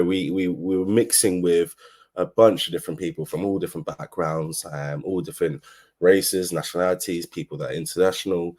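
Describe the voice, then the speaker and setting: Very deep-voiced London man. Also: darkish tone. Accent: London English. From London, England. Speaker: agency co-founder, speaker. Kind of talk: informal business presentation, telling own story.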